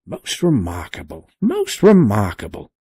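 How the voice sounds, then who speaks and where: A man's voice: mid-range voice, wildly swooping pitch, unhurried, dark tone, breathy edge, no echo, clean studio sound, pitched above the performer's own voice, exaggerated voice. A man voicing an absent-minded, fussy elderly male professor who mutters kindly to himself. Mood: flustered.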